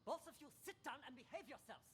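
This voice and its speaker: A no-nonsense hospital staffer in a radio drama. Her voice sits a little high and moves expressively, firm and reproving, with slight noise behind it. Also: animated, fast talker, fairly bright tone. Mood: stern. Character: stern, authoritative female hospital staffer. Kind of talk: interactive radio drama acting.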